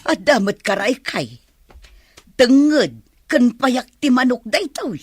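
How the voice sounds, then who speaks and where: A woman's voice: a little high, lively intonation, slow pace, husky, heavily acted. A woman playing an exasperated, scolding village mother in an Ilocano comedy radio drama. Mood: puzzled, annoyed.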